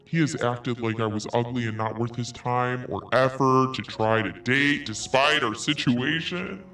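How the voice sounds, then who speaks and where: A man's voice: medium pitch, measured pace, sharp tone, recorded from a distance. A man podcast host reads an email aloud and mocks it with dry, snarky comments between lines. He sits a little back from the mic, so he sounds set apart from it. Faint music plays under his voice. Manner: sarcastic, cutting in with snarky asides.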